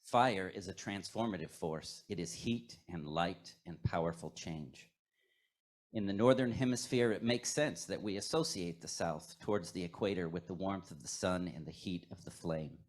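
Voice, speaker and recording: Low-voiced man leading a guided meditation. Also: normal speed. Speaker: worship leader at a fellowship. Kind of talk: guided meditation sermon, scripted and poetic.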